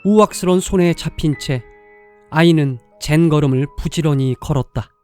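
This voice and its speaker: Mellow, higher-pitched male storyteller over music. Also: moderate pace. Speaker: storyteller, narrator. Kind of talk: scripted dramatic story narration.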